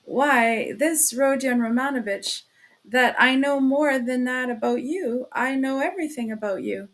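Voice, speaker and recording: A woman's voice: sits high, measured pace. A woman voicing a sly, fussing male police investigator in an audiobook, wheedling and chattering as he repeats himself. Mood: insistent, knowing. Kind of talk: audiobook character acting.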